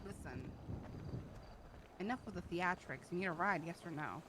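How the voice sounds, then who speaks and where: A woman playing a playful, blunt, excitable passenger in an online roleplay game, with a conversational, jokey delivery. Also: fairly low pitch, moderate pace, noisy background, natural voice.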